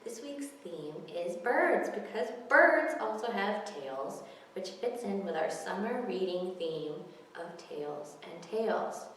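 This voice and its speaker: A woman's voice: mid-range voice, animated, takes it slowly, a bit bright. Woman reading aloud in an echoey hall. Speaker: children's library story time host. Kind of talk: expressive picture-book read-aloud for children. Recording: very echoey, distant mic, faint noise.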